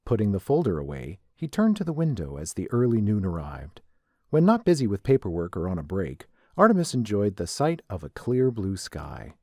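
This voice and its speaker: Casting: male narrator for scripted fiction, mellow tone, animated intonation. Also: lowish voice, normal speed, no room sound.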